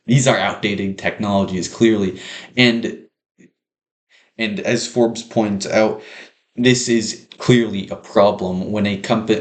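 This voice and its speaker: A young man delivering a prepared persuasive speech in an earnest, explanatory manner, like a student speaker. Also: lowish voice. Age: young adult. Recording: roomy, echoing sound.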